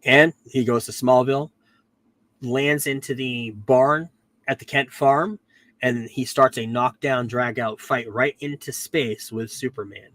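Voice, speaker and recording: Guy with a cold rambling thru a tv recap, um's everywhere, keeps correcting himself, mid pitch. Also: fairly even intonation, unhurried, a bit bright. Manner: rambling, self-correcting, frequent "um"s. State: getting over a cold, losing his voice. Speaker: podcast host reviewing a TV series. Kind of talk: casual recap chat.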